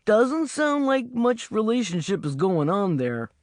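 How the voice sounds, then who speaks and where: A sulky, sniping demon in an audiobook, as the woman narrator puts him on. The voice sits a touch higher than her narration and moves around lively. The recording is dry and close. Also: unhurried, no room sound. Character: sulky male demon in dog form.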